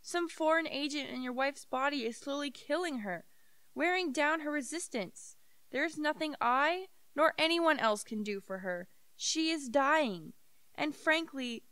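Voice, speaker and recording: A young woman playing a frank, formal, regretful doctor with a grave, measured manner in a radio play. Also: high-pitched, bright, forceful tone, no echo. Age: young adult. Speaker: student actor.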